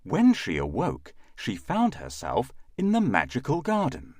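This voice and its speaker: A man's voice: medium pitch, huge pitch swings, unhurried, darkish tone, a little hiss or hum. A man narrating a scripted fairy tale for children as a storyteller.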